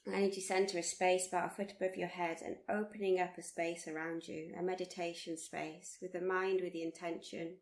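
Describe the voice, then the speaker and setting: Even-toned woman guiding a meditation. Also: lowish voice. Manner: soothing, unhurried, gently encouraging with repeated phrases. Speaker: meditation teacher leading a body scan. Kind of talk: guided meditation. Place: live workshop.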